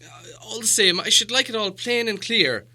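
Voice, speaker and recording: Man putting on a fussy, higher-pitched little hobbit. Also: normal speed, sharp tone, full character voice. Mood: obstinate, businesslike. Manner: hesitant, fussy, prim and businesslike. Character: flustered but stubborn, fussy little male hobbit. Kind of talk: audiobook character dialogue.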